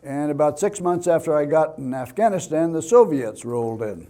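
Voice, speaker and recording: An older man speaks to teach, as a seasoned security trainer would. His voice sits a little higher than most men's, and he sets out his points and personal stories in deliberate, measured phrases. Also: expressive, warm tone. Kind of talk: public training talk with personal anecdotes.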